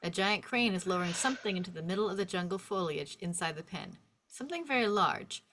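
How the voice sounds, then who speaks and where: A woman's voice: fairly low pitch, lively intonation, fast, a bit bright, slight background noise. A woman reading stage directions as a scripted narrator, in a vivid descriptive storytelling manner.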